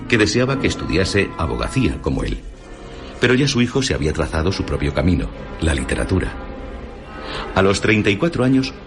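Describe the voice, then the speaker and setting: A man with a Castilian Spanish accent presenting literary radio stories in a measured, dramatic scripted narration. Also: sits low. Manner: measured, dramatic storyteller delivery. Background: music behind the voice.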